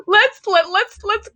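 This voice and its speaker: A woman's voice: very high-pitched, sharp tone, lo-fi sound. A woman, an actor in a table read, chatting casually on a live stream.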